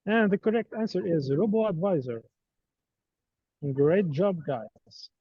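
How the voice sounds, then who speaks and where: A man's voice: high voice, expressive, unhurried, husky, dry recording. A native Arabic-speaking man teaching an online course, running a live quiz in a playful, teasing way. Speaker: course instructor. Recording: dull, dry online call audio.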